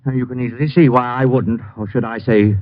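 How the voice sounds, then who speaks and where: An actor playing a composed, evasive husband under suspicion in a 1950s radio drama, explaining himself carefully. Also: male voice, medium pitch, steady tone, fairly quick, slight background noise, close to an everyday voice. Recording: dull lo-fi old radio broadcast.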